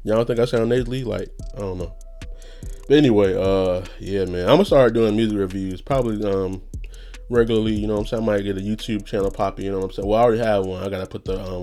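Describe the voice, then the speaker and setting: Laid-back low-voiced young man rambling. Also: steady tone, fast talker, warm tone, faint music behind. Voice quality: slightly nasal. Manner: loose, rambling, profane, laughs often. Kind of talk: casual monologue.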